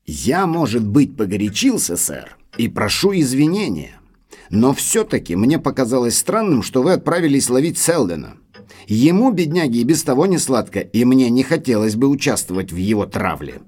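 An earnest servant in an audio drama. He speaks at a middle pitch, with formal deference to his master, and implores him respectfully. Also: very animated, moderate pace, a little mellow, slightly rough. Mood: aggrieved, apologetic.

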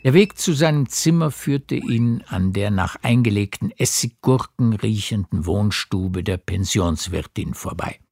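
A man reading scripted literary narration for a radio drama in a measured storytelling manner. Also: fairly low pitch, expressive, gravelly, darkish tone.

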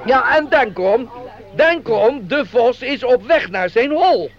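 Pompous, very high-voiced jolly organiser. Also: male voice, animated, measured pace, crowd noise, full character voice. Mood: pompous, teasing. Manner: pompous, formal announcer with chuckles. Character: fussy, jolly male outing organiser, Meneer Gans. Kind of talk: Dutch radio play acting. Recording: dull radio play recording, busy group behind.

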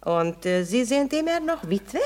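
A woman's voice: a little high, big pitch swings, measured pace, darkish tone. An actress playing a flirtatious foreign lady in a radio comedy, effusive and coquettish, with a foreign accent and broken German grammar. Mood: teasing. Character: flirtatious foreign lady, gushing former music pupil. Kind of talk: stage comedy radio acting.

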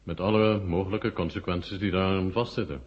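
A man playing a stern, guarded Soviet marshal in a Dutch radio play, formal and deliberate. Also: sits low, steady tone, a little hiss or hum, lightly acted. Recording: dull, muffled 1970 radio recording.

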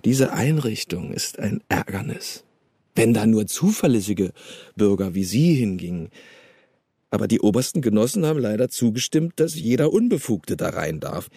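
Gravelly, husky East German secret police officer. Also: male voice, on the low side, very animated, normal speed, dark tone, dry recording, full character voice. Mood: officious, insinuating. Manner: insinuating, bureaucratic, with knowing chuckles. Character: East German state security officer, oily, chummy, quietly menacing. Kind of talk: naturalistic German radio drama acting.

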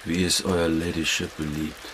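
A man's voice: very deep voice, level tone, moderate pace, very noisy. An actor playing a terse, guarded gamekeeper in a radio drama, sullenly deferential to his betters. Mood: guarded, awkward. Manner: terse, reserved.

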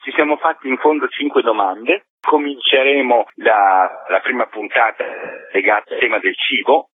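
A man's voice: mid-range voice, normal speed, husky, no room sound. A man being interviewed by phone as a theatre maker, explaining his work in a reflective, articulate way over a tinny, muffled line. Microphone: telephone.

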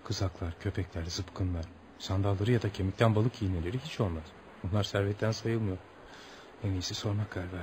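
An actor playing a polite, curious American traveller in a radio play, theatrical and musing, with amused asides to himself. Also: male voice, lowish voice, fairly even intonation, fairly quick, a little mellow, a little hiss or hum, natural voice.

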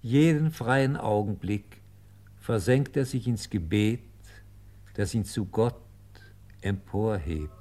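A male narrator with a lowish, warm and mellow voice. He reads solemn devotional text very slowly, measuring each phrase with care. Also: slight background noise. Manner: measured, deliberate.

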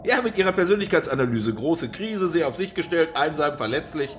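An actor playing a smug, wealthy boss in a radio crime play, lecturing pompously and with amused condescension. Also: male voice, fairly high pitch, lively intonation, brisk, fairly bright tone, a little hiss or hum. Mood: smug philosophizing.

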